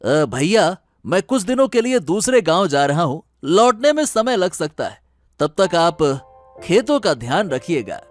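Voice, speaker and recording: A man's voice: high voice, big pitch swings, a little slow, no room sound, clean studio sound, faint music behind. A man playing a kind, trusting younger brother who speaks earnestly and respectfully in a cartoon story. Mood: obliging. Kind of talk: Hindi cartoon moral story acting.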